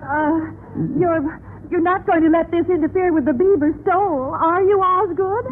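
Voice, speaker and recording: High-voiced middle-aged wife, tinny radio. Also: female voice, normal speed. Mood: coaxing. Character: coaxing middle-aged wife, plaintive and genteel. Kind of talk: 1950s radio sitcom acting. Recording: tinny, noisy old radio recording.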